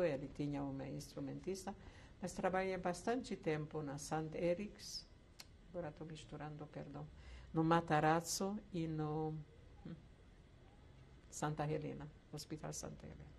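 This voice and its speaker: An older woman from Czechoslovakia, once a camp survivor, telling her life story slowly with hesitant pauses in an oral history interview. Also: deep voice, gravelly, darkish tone, a little hiss or hum. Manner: slow reflective storytelling with hesitant fillers.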